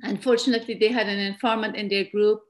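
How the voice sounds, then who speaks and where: A middle-aged woman from Afghanistan giving a slide presentation over a video call, earnest and measured, often restarting her sentences. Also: medium pitch, fairly even intonation. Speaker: guest presenter. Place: indoor room at home. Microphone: webcam on a video call.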